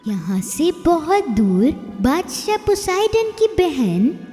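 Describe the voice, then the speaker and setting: Lady telling a kids fairy tale, slow mellow high voice, long reverb, music underneath. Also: sing-song. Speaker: storyteller. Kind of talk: scripted children's fairy-tale narration. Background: faint music.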